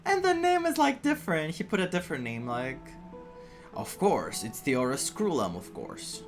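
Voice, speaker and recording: Very animated game streamer reacting casually. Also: mid-range voice, normal speed, faint music behind. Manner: exclamatory, talking to the screen.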